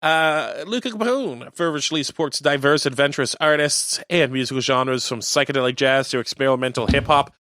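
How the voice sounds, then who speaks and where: Bright-voiced radio guy. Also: mid-range voice, fairly quick, hardly any pauses, no room sound, studio quality. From Canada. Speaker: community radio co-host. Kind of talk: casual radio banter and show listings.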